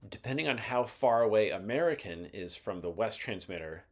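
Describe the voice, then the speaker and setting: A man's voice: fairly low pitch, lively intonation, normal speed. A man giving scripted instructional narration as the host of an explainer video, in a methodical, explanatory manner. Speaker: host of an aviation explainer channel.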